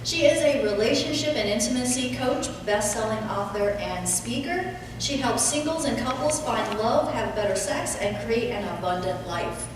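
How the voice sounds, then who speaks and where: A woman's voice: fairly high pitch, lively intonation, normal speed. A woman host reading a stage introduction for the next speaker. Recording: distant echoey hall with noise.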